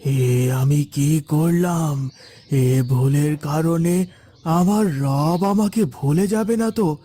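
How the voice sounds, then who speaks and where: Give the cartoon a humble old village man played by a male actor, devout and anxious. He speaks slowly in a soft, mellow tone and pleads as if in prayer. Music plays behind him. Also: a little high. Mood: remorseful, pleading. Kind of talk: Islamic moral cartoon voice acting.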